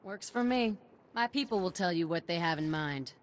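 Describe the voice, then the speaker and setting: Hard-bitten female soldier , acted by a woman, lowish pitch, wry and brisk game dialogue, faint ambient noise. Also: lively intonation, lightly acted. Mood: wry, businesslike. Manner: brisk, wry military talk. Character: tough, wry, no-nonsense female army lieutenant. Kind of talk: video game voice acting.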